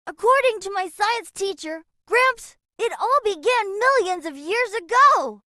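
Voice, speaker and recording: Woman as high-pitched, cocky young boy. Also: lively intonation, measured pace, bright, forceful tone, no echo, clean studio sound, heavily acted. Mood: scornful. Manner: argumentative, scornful, emphatic. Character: cocky, skeptical young boy, a teasing older brother.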